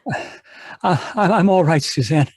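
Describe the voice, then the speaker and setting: A gallant young man, wounded, in an old radio adventure, played by a male actor. His voice sits a little high and is recorded dry and close. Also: a little slow, breathy edge, dry recording. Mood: weak, tender. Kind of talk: old-time radio drama acting.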